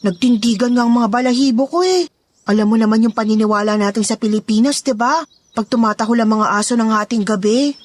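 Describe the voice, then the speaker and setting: High-pitched Filipina housemaid for audio horror drama, played by a woman: plucky, gossipy, confiding, Filipino accent. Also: fairly even intonation, fast talker, darkish tone, natural voice. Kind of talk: audio story drama dialogue.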